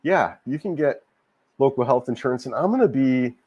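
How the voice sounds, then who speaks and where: A man hosting a casual livestream, a little hoarse from a cold, rambling and repeating himself as he answers viewer comments. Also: medium pitch, animated, moderate pace, lo-fi sound. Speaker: livestream host. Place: home in Cebu, Philippines.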